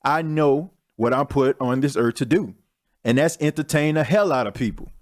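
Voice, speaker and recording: A man's voice: medium pitch, normal speed. A young man hosting his own podcast, talking in a candid, slangy, self-mocking way as a casual solo monologue. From Texas. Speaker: podcast host.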